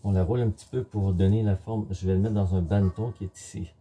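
A middle-aged man giving a step-by-step cooking demonstration in a home kitchen, explaining in short, halting instructional phrases. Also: on the low side, fairly even intonation, background noise. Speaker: home baker presenting a recipe.

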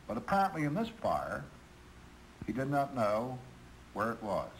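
A man's voice: medium pitch, wildly swooping pitch, normal speed. Earnest American male manager played by a man, stiff 1950s training film meeting, slight hiss. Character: earnest railroad manager chairing a safety meeting. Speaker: railroad official leading a meeting. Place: conference room. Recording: noisy 1950s film soundtrack.

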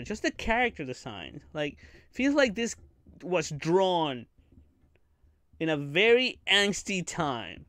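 A man chatting through a live reaction stream, giggly and rambling, with crude jokes. His voice sits a little high for a man and sounds dry and close, with almost no room around it. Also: fairly bright tone, dry recording. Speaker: streamer reacting to anime trailers.

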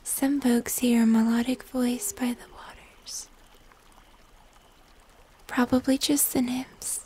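A woman's voice: on the high side, flat delivery. Woman playing a whispering moth woman of the woods. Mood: gentle, guiding. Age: young adult. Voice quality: soft, breathy, whispery. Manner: slow, hushed, mysterious, gentle. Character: gentle mysterious moth-human hybrid woman of old woods. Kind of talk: cinematic fantasy ASMR roleplay.

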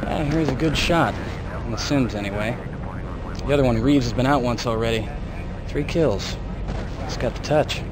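An actor playing a hard-bitten mech squad leader in a game cutscene, with a blunt, sardonic, commanding manner. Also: male voice, mid-range voice, moderate pace, long pauses, close to an everyday voice. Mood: wry. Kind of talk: live-action military sci-fi acting. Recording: noisy old game video, machinery hum.

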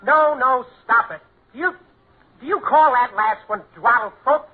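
An actor playing a fussy piano professor in a 1940s radio comedy, confidently rattling off garbled nonsense jargon. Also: male voice, extremely high pitch, a little slow. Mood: indignant. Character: fussy male piano professor spouting nonsense double-talk. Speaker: comic actor. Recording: noisy, narrow-band 1940s radio recording.

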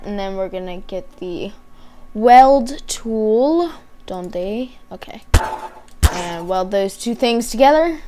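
A woman doing casual gameplay commentary, thinking aloud to herself, with a voice that is starting to give out. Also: mid-range voice. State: losing her voice, often clearing throat. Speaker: gamer hosting a gameplay video. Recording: background hiss, keyboard and mouse clicks.

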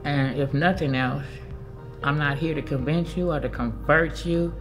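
A woman's voice: sits low, moderate pace. An older American woman giving emphatic, plain-spoken personal testimony as a church volunteer. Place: indoor church sanctuary, seated interview. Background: music.

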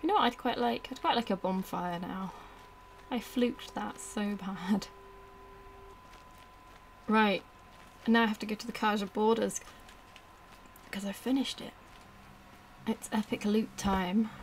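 A woman doing casual let's play commentary, excitable and self-deprecating, mostly talking to herself as she plays. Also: medium pitch, measured pace, pauses often, slight background noise, low-quality recording. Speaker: gaming YouTuber. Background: loud game music and combat sound.

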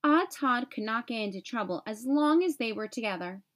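A woman reading a children's picture book aloud as an expressive storyteller, phrasing clearly for young children. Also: on the high side, normal speed.

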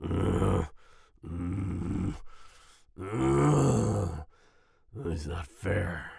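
A man playing a volatile but soft-hearted werewolf boyfriend in an ASMR roleplay, growling and raspy, with sighs and groans. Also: low-pitched, expressive, dry recording, clean studio sound, heavily acted. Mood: reluctantly soothed. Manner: snarling outbursts, sighs and groans, slow brooding delivery. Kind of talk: ASMR roleplay audio drama.

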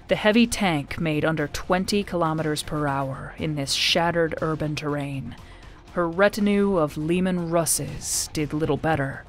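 A woman reading a scripted war story as a dramatic audiobook narrator. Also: deep voice. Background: music behind the voice.

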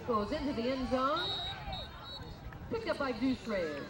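A man's voice: very high-pitched, sing-song, a little slow. A man calling live American football play-by-play as the announcer at an outdoor game. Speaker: stadium announcer. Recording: echoey outdoor PA with loud crowd noise. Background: loud crowd and outdoor noise.

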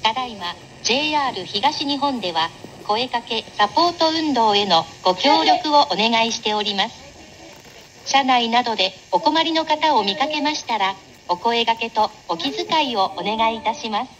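A woman's voice: fairly high pitch, animated, moderate pace, fairly bright tone, poor audio. A woman announcer reading a scripted public-service announcement on a train in a polite, formal manner. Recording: onboard announcement with background noise.

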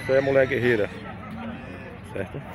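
A man's voice: mid-range voice, normal speed. A man with a Northeastern Brazilian accent, chatting casually as a vlogger outdoors and peppering his commentary with tag questions. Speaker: vlogger touring a livestock fair. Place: outdoors at a livestock fair. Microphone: handheld phone behind the camera. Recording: busy outdoor crowd behind voice.

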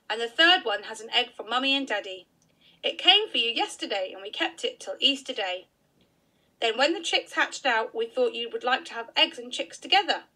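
Kindly storybook aunt, read by a woman, brisk and lively. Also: high voice, exaggerated voice, poor audio.

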